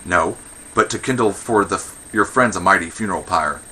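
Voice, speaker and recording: A man voicing a fiery, defiant Greek woman, forceful and rough-edged. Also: low-pitched, fairly quick, heavily acted. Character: defiant, fiery Athenian women threatening the men.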